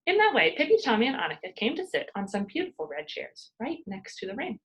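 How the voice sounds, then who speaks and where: A woman reading a children's story aloud like a teacher, expressive and dramatic. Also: a little high, fast.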